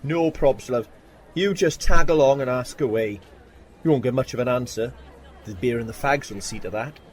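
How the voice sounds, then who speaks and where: A man's voice: medium pitch, normal speed, close to an everyday voice. An actor playing a wisecracking man from a Welsh football background in an audio drama, dry, jokey and colloquial. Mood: cheeky. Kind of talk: full-cast sci-fi audio drama.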